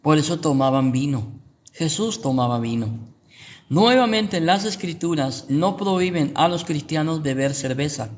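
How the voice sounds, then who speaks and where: Higher-voiced Guatemalan man teaching, noisy recording. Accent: Guatemalan Spanish. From Guatemala City, Guatemala. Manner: conversational, checks in with rhetorical questions. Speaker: pastor. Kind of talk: informal Bible teaching to online viewers.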